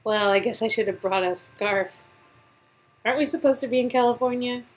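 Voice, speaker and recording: A woman voicing a friendly, good-natured young aspiring actress, the heroine of a story game, in an expressive way. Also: a little high, a little fast, close to an everyday voice. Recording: music in background.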